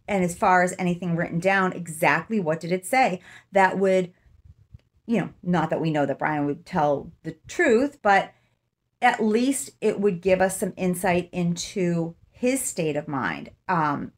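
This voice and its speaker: A middle-aged woman with a slightly low voice talks casually to camera. She sounds like a true-crime vlogger reasoning out loud, conversational and unscripted, speaking into a phone indoors. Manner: conversational, thinking aloud. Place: small room indoors, seated in an armchair.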